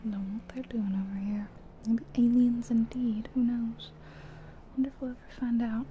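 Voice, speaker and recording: A woman playing an easygoing night-shift taxi driver, musing softly to herself in a breathy ASMR roleplay. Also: fairly high pitch, level tone, moderate pace, phone-quality sound, slight background noise, lightly acted. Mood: calm, musing. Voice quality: breathy whisper. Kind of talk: soft-spoken whispered ASMR roleplay.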